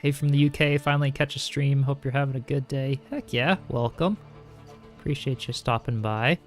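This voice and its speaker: A young man streaming a building game, talking calmly and softly while reading chat aloud, with frequent small chuckles. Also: mid-range voice, normal speed. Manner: soft-spoken, calm, chuckles often, reads chat aloud. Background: faint game music.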